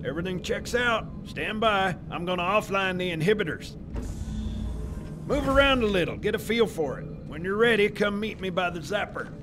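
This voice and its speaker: An actor playing a gruff, fussy older male military armorer in a video game cutscene, brisk and instructive. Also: high voice, animated, music in the background. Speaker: weapons and armor technician.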